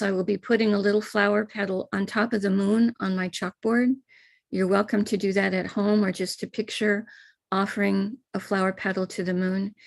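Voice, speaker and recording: A middle-aged American woman leads a ritual over a live stream, explaining each step in a calm, gentle way. Her voice has a gravelly fry to it, and the sound is close and dry with no room echo. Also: mid-range voice. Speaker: spiritual teacher leading a ritual.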